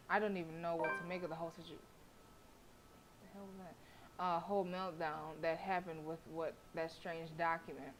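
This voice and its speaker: A woman's voice: lowish voice, a little hiss or hum, poor audio. A woman streamer reading a visual novel aloud expressively, with casual, sassy asides. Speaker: game streamer.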